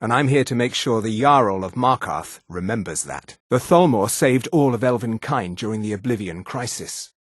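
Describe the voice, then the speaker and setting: Man playing a pompous high elf official, swooping delivery. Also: animated, normal speed, hardly any pauses, no echo, clean studio sound, lightly acted. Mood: haughty, proud. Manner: pompous, condescending, precise. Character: haughty male high elf official, supremacist and sneering. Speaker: video game character. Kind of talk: scripted fantasy game dialogue.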